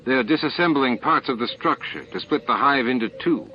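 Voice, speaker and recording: A man's voice: mid-range voice, moderate pace, pitched above the performer's own voice, lightly acted. Man playing a frank starship engineer, muffled. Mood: baffled, impressed. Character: practical male chief engineer, frank and admiring. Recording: muffled, band-limited tape audio.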